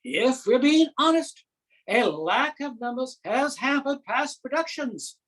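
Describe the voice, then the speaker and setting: A woman's voice: high-pitched, animated. Woman playing a pompous amateur theatre director. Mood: awkward, rueful. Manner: formal, pompous, deliberate pauses. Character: earnest, self-important amateur drama society director, male. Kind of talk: theatrical comic monologue.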